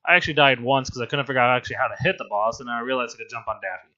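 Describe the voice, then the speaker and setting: Man, hoarse, bright forceful tone, rambling self-deprecating game commentary, dull lo-fi sound. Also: mid-range voice, rapid-fire. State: hoarse from laryngitis. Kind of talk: casual gameplay commentary voice-over.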